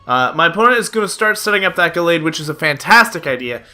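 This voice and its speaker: A man's voice: a little high, animated, fast talker, bright, forceful tone. A young man giving chatty play-by-play commentary on a card game, slightly nasal from a cold, with lots of "uh" fillers. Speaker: gaming YouTuber. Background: background music.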